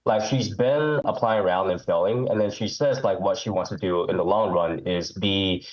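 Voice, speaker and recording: A man's voice: low-pitched, normal speed, highs cut off. A man calling in to a live show, speaking hesitantly with many ums and restarts, with a head cold. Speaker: caller. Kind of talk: call-in chat.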